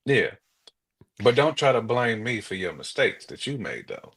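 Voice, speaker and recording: A man's voice: on the low side, big pitch swings, moderate pace, gravelly, a bit bright, husky. A man in a casual, opinionated chat, speaking colloquially and emphatically and repeating his points.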